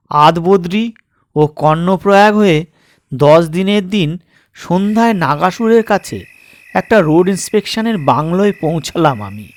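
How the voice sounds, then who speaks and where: A man narrating a suspense story as an audiobook, with measured, deliberate, dramatic phrasing. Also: high voice. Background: night insect sound effects.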